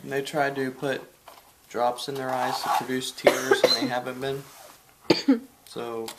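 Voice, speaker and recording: A man in his twenties chatting casually at home in a relaxed, teasing way. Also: mid-range voice, unhurried. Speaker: boyfriend, vlog regular. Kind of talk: casual chat. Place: home kitchen. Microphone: handheld camera. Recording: noisy handheld camera audio.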